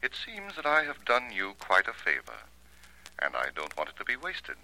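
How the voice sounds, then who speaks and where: Deep, sly fortune teller. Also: male voice, huge pitch swings, bright, forceful tone, full character voice, low-quality recording. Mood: insinuating, pressing. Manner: formal, deliberate, theatrical. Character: sly, insistent male fortune teller, grandly mysterious. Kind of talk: 1940s radio drama acting.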